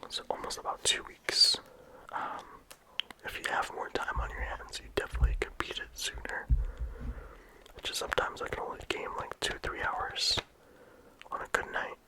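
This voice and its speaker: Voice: moderate pace, pauses often, low-quality recording. Casual whispered ASMR game review. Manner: soft unvoiced whisper throughout; casual, chatty, with frequent "like" and "um" fillers.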